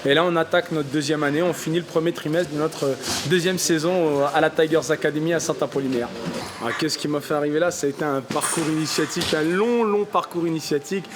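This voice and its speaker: French man explaining his sport, slightly high-pitched. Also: steady tone, fast, background noise. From Paris region, France. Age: 30s. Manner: explanatory, many fillers and restarts. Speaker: Thai boxing club co-founder and coach. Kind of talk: interview answers.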